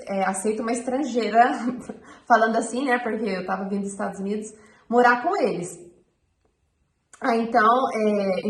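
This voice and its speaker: A woman's voice: mid-range voice, a little hiss or hum. A woman from Brazil, a missionary, sharing her personal testimony in a chatty storytelling way with frequent fillers. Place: home in Guatemala. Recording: echoey room.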